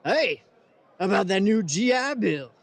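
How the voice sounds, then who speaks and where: Creaky, high-voiced friendly bartender played by a man. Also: very animated, unhurried, exaggerated voice. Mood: friendly, folksy. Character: friendly male bartender in postwar America. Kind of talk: 1940s radio acting.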